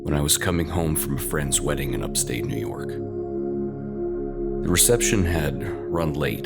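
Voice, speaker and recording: A man narrating a scripted first-person horror story in a slow, suspenseful, deliberate and dramatic way, with a gravelly voice. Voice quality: very deep, gravelly. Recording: loud ambient music bed under voice.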